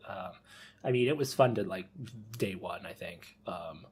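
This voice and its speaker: A man's voice: sits low, unhurried, darkish tone, slightly rough. A man co-hosting a podcast, talking casually and giving a prepared review with playful asides. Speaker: podcast co-host.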